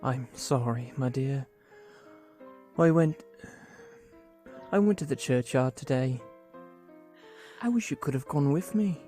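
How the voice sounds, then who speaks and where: A man playing a gentle, sorrowful father in a radio play, soft, subdued and tender. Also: medium pitch.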